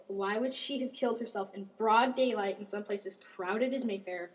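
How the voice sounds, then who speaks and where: A woman's voice: medium pitch, moderate pace, close to an everyday voice. A woman playing witness and medical examiner parts in an amateur old-time radio mystery. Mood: matter-of-fact. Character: female witness and medical examiner in a murder case.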